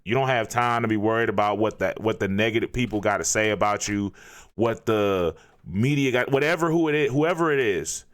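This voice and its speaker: A man's voice: lowish voice, brisk, a bit bright. A man hosting a reaction video, ranting in disbelief and firing off rhetorical questions. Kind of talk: casual reaction commentary.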